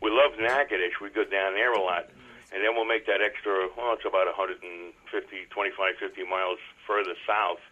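A man's voice: deep voice, fairly even intonation, brisk. An older man with a New York accent chatting casually as a caller to a radio show over the telephone. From Brooklyn, New York. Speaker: radio call-in listener. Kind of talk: casual chatty phone call. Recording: dull phone line on radio call-in.

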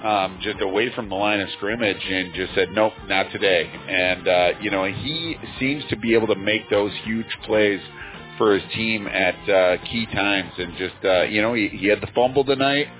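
A man in his fifties, a radio announcer chatting casually about a high school football game. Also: moderate pace. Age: 50s. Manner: relaxed, chatty, with frequent fillers. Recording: tinny phone-like radio line with faint music.

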